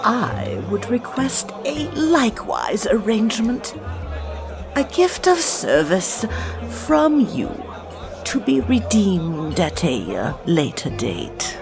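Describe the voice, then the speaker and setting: A woman playing a cunning, courtly old witch in an audio drama, speaking in a deliberate, formal manner. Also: medium pitch, wildly swooping pitch, vocal fry, over music, a crowd in the background, full character voice. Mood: sly, measured.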